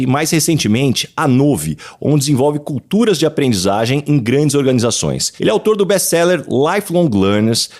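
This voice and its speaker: A man from Brazil hosting a career podcast, introducing his guest and asking questions in an upbeat way. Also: mid-range voice. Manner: lively, upbeat. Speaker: podcast host, headhunter.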